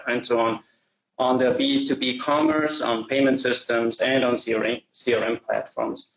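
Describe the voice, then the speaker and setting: A middle-aged man from Austria with an Austrian German accent, giving a measured business presentation and slowing down to stress key phrases. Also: medium pitch, roomy sound, poor audio. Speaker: company founder presenting.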